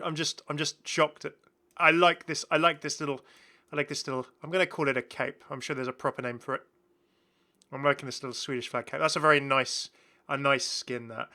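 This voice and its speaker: Bright-voiced man chatting enthusiastically about games. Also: on the high side, fairly even intonation, fast talker. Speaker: gaming video presenter. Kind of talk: rambling casual game commentary.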